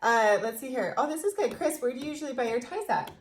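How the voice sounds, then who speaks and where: A woman's voice: high voice, expressive, fast, slight background noise. An American woman in her thirties chatting casually and playfully with her partner as co-host of a fan video. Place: indoors in front of a logo backdrop.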